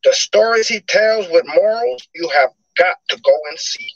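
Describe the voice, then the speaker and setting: An eager male fan, played by a man, talks up a channel in a husky, fairly high voice, sounding salesy and excited. The sound is dry and dull, like a lo-fi home recording. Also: moderate pace, no room sound, exaggerated voice. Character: enthusiastic male fan giving a testimonial.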